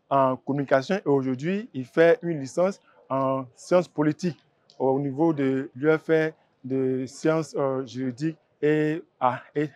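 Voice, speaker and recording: Ivorian man, slightly high voice, close sound. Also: a little mellow, no room sound. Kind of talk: semi-formal spoken tribute.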